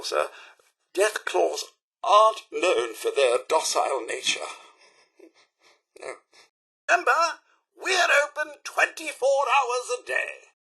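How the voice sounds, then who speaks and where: A man's voice: high voice, bright, forceful tone, slightly rough, exaggerated voice. A man voicing a polite, fussy, easily scandalised English robot butler in a video game, with prim, theatrical, deferential delivery and a British accent. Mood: servile, brisk. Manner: prim, theatrical, deferential but quick to disapprove. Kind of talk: scripted video game character lines.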